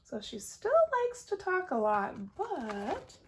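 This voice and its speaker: A woman reading a picture book aloud as a teacher, expressive and putting questions directly to young listeners. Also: fairly high pitch, takes it slowly. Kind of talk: animated children's read-aloud with questions.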